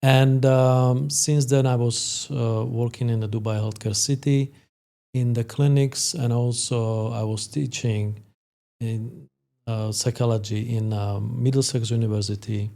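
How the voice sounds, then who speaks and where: Male expert guest, 50s, Slovak accent, gravelly and mellow, halting delivery. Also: mid-range voice, fairly even intonation. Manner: hesitant, halting phrasing. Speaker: sports psychologist, podcast guest. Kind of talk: interview conversation, explanatory.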